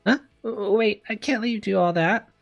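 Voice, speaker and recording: Guy voicing sick anxious lady teacher from a visual novel, low voice, hesitant, feels sorry for herself, music behind. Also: lively intonation, a little fast, lightly acted. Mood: flustered. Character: anxious, self-doubting young woman teacher, bedridden with fever. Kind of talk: visual novel read aloud. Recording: background music under the voice.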